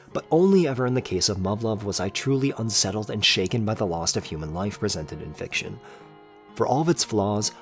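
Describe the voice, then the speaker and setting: A man reading scripted, analytical video essay narration in an earnest, articulate way with formal wording. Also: on the low side. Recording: music behind the voice. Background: background music.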